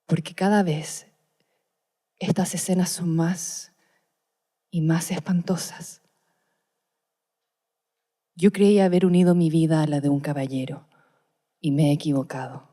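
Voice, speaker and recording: A frightened young wife in a radio play. She speaks low and mellow, measured and haunted, with defiance under the dread. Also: steady tone. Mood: fearful, haunted. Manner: measured, dramatic, haunted delivery. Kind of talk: Spanish-language radio play, diary monologue.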